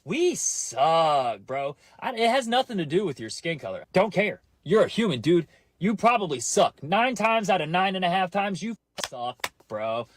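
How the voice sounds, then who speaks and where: Lively high-voiced man ranting for laughs. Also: normal speed. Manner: slangy, laughing, calls listener bro. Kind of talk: comedic rant.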